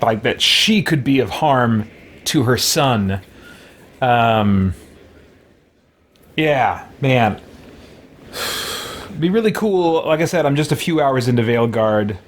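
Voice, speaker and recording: A man of around forty giving casual video game commentary, thinking aloud as he goes. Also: mid-range voice. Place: small home room lined with shelves.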